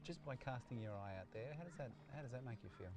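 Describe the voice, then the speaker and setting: Quick-talking Australian male interviewer. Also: mid-range voice, expressive, over music. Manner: loose phrasing, frequent "you know". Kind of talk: casual interview chat. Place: outdoors by a lake.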